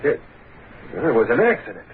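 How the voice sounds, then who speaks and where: An actor playing a gruff, defensive, hot-tempered gas station owner with slangy speech, in old radio drama style. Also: male voice, mid-range voice, huge pitch swings, brisk. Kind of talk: 1930s-40s radio drama acting. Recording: muffled old radio recording, faint noise.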